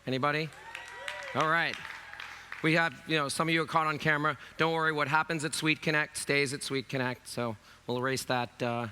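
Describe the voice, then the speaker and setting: Raspy-voiced man giving a bright keynote. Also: on the high side, fairly even intonation, a little fast, a faint crowd, slight background noise. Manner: loose and chatty, with many fillers. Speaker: keynote host. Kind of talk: conference keynote speech. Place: large conference stage.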